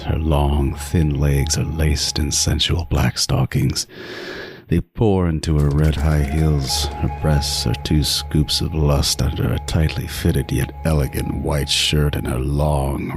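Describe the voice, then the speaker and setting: An actor playing an obsessive, meticulous serial killer, narrating a horror podcast in an intense, deliberate, breathy and at times hushed voice. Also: male voice, a bass voice, heavily acted. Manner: intense, menacing, deliberate first-person narration. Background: music.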